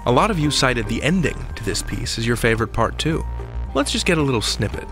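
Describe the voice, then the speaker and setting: Casting: male countdown-podcast host, very lively swooping delivery, mid-pitched, playful and theatrical, some music around the voice. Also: brisk. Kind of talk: chatty podcast countdown and commentary.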